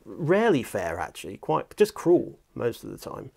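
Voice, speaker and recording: British male presenter, forties, creaky voice, casual and jokey conversational interviewing. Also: mid-range voice, wildly swooping pitch, normal speed, darkish tone. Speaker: host, audiobook producer. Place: small indoor office, seated.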